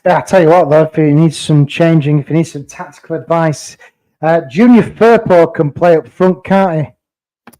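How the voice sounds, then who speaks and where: A man's voice: on the high side, normal speed, warm tone, rough recording. A man hosting a casual football podcast, bantering and teasing his co-host.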